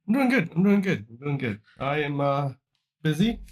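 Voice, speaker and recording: A man's voice: on the high side, sing-song, normal speed. A man co-hosting a casual talk show, answering in easygoing, agreeable short replies. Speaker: co-host. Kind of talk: casual chat.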